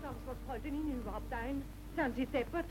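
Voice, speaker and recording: An indignant woman played by an actress scolds in a radio play. Her voice is on the high side, with loud plucked guitar music around it. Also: brisk, natural voice, low-quality recording. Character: indignant Viennese woman scolding a night-time rowdy. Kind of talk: radio drama acting.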